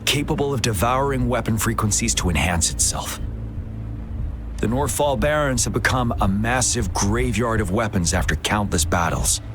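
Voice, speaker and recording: An actor voicing a stern, dutiful young male general who speaks in a measured, earnest and formal way in a game cutscene. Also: mid-range voice, lightly acted. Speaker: general leading the defence. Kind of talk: voiced video game cutscene. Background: cinematic music.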